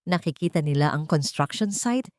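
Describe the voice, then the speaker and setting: A woman storyteller with a slightly low voice narrates a scripted love story in a dramatic style. The recording is very dry, with no room sound. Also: animated, normal speed, darkish tone, no room sound.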